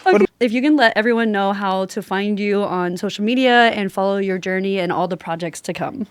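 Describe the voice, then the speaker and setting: Bubbly lady podcast host, mid pitch, chatty and encouraging, dry studio-close sound. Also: brisk, clear, resonant tone, dry recording. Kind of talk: casual chat interview.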